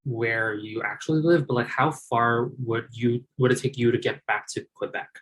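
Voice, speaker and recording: A man's voice: mid-range voice, moderate pace, a bit bright, slightly rough. A man talking as a guest on a podcast, easygoing and enthusiastic in casual chat. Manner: easygoing, enthusiastic, quotes himself playfully. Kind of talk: casual chat about a TV drama.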